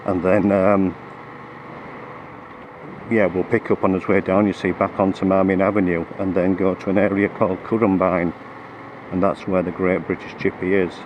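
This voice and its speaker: A man riding a motorcycle with a cold-roughened, croaky voice, chatting through the route with lots of ums and restarts. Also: deep voice, little pitch movement, moderate pace, highs cut off. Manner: hesitant, many fillers and restarts. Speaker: motorcycle vlogger. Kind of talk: rambling ride commentary. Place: on a motorcycle on the road. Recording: road and engine noise behind.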